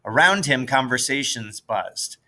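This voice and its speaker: An American man narrates a storybook in a bright, forceful voice of medium pitch. He takes his time, reading at a slow, measured pace. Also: animated. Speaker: audiobook reader, teacher.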